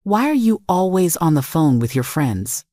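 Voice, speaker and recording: A woman's voice: low-pitched, big pitch swings, normal speed, warm tone, dry recording, studio quality. A woman voicing a menacing, controlling husband in a dramatic story, accusing and commanding. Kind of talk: dramatic story narration dialogue.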